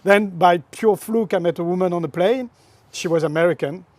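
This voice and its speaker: A man's voice: high voice, moderate pace, darkish tone, no echo. A middle-aged man from France with a French accent, a guest in a casual interview chat, talking in an expansive, philosophical, emphatic way.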